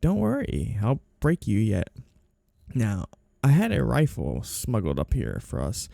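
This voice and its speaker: Dark-voiced, flirty man acting a mafia boss. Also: on the low side, huge pitch swings, creaky, no echo, studio quality, full character voice. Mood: smug, teasing. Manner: coy, teasing, drawn-out lines with sugary endearments. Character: flirty, two-faced male mafia boss, teasing and sly. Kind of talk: ASMR period roleplay acting.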